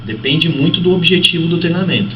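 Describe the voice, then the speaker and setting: A man's voice: fairly high pitch, animated, fast. A man with a Brazilian accent, speaking as a guest fitness trainer and explaining conversationally with examples. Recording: muffled, noisy, distant-sounding broadcast audio.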